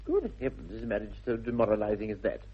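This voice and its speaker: An actor playing a witty, self-indulgent young Victorian gentleman with an upper-class English accent, arch and languid, in 1960s British radio comedy style. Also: fairly low pitch, sing-song, fast, breathy edge, muffled recording. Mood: breezy, arch. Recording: thin, band-limited old radio recording.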